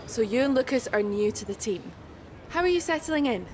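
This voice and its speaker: An actress playing a brisk, businesslike female motorsport reporter doing an interview in a video game cutscene. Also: a little high, lively intonation, darkish tone, some chatter behind, slight background noise, natural voice. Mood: probing, polite. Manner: brisk, directing, professional.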